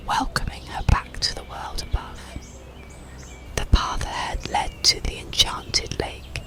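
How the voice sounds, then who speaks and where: Bedtime story narrator: breathy whisper with a crackly, gravelly edge, soothing and measured, ASMR style. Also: extremely low pitch. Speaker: audiobook narrator.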